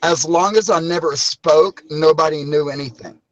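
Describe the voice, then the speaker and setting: A woman with a deep voice talking bluntly and candidly in a casual group chat, swearing freely. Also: normal speed, no echo. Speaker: caller. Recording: dull lo-fi call audio.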